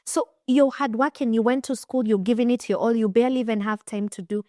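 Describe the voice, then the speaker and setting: Kenyan lady host chatting, animated, keeps restarting, dry close sound. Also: a little high, fast, no echo. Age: young adult. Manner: animated storytelling with frequent restarts. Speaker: podcast host and finance coach. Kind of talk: casual interview chat.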